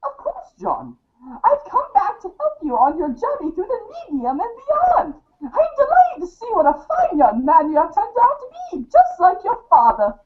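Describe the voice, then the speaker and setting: A doting old grandmother ghost, pitched high and swooping up and down. She speaks with tender, theatrical flair in a storybook fan show. The recording is slightly dull. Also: wildly swooping pitch, normal speed, exaggerated voice. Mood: delighted, tender.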